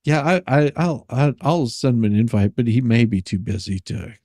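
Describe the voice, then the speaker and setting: A man's voice: medium pitch, expressive, moderate pace, dark tone, no room sound, studio quality. A man hosting a live stream in casual chat, reading messages aloud and commenting sarcastically, often repeating words as he goes.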